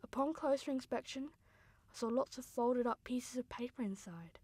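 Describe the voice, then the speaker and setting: A woman plays a grieving younger sister telling her story in a radio drama. The voice is fairly high, earnest and sombre, recorded close and dry with deliberate phrasing. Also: mellow, no room sound, close to an everyday voice. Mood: sombre, wondering. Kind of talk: scripted radio drama narration.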